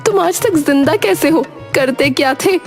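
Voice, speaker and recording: A woman voicing a sharp-tongued young village wife and mother with a dramatic, plaintive manner in an animated folk tale. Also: sits high, normal speed, pitched above the performer's own voice. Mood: scolding. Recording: music behind the voice.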